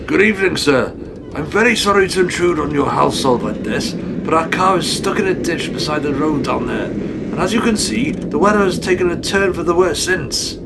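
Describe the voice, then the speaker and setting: Male actor as older father, gravelly and fairly high voice, fussy, proper, grumbling, radio drama, loud background noise. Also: animated, normal speed. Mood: polite. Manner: formal, old-fashioned phrasing. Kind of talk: radio play acting. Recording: heavy ambient noise behind the voice.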